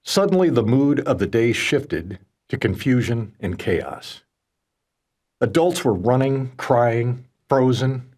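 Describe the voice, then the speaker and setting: A man narrating a first-person audiobook as its author, telling a personal story in measured phrases. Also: medium pitch, animated, darkish tone. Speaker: author reading own audiobook.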